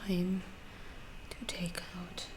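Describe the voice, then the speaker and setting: A woman playing a calm, curious alien scientist in an ASMR roleplay, speaking softly and often in a whisper, with a detached, clinical, reassuring manner. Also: fairly low pitch, drawn-out words. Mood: soothing, hushed. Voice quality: soft, breathy, often whispered. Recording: roomy echo, clear background noise.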